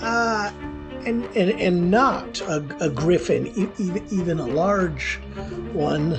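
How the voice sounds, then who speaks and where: A man playing a flustered, stammering wizard, a bit creaky. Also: sits high, music in the background, voice pushed up. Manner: stammering, halting. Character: flustered, earnest wizard, nervous and easily startled. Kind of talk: cozy fantasy radio story acting.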